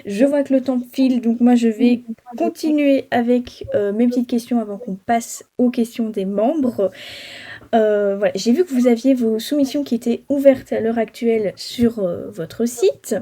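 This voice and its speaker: A woman's voice: on the high side, moderate pace, warm tone. A woman from Belgium hosting an interview, asking questions in a friendly way with fillers. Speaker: interviewer host. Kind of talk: casual interview.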